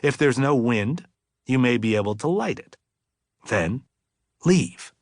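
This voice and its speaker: A man voicing an earnest, reflective middle-aged father in an audiobook, musing deliberately and with emphasis. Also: medium pitch, expressive, no echo, clean studio sound.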